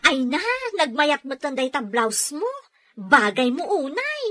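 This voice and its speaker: A woman's voice: high voice, sing-song, a little slow, bright, forceful tone, exaggerated voice. A woman playing a complaining, hard-to-please housewife and elder sister in a radio comedy drama. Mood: envious, grumbling.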